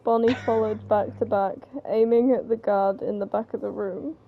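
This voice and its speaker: A woman's voice: mid-range voice, fairly even intonation, normal speed. A woman reading a story aloud in a casual table read. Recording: dull, noisy home recording.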